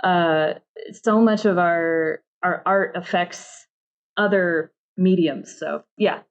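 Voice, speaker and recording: A woman's voice: on the low side, slow pace, highs cut off. A woman talking casually as an interview guest about her creative work, often slipping into quoted asides. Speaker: interview guest, game narrative director. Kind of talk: casual chat.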